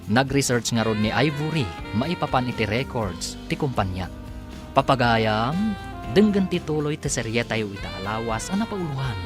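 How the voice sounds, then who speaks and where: A man's voice: mid-range voice, expressive, normal speed, music in the background. A man narrating and announcing a radio drama serial in a scripted, theatrical style.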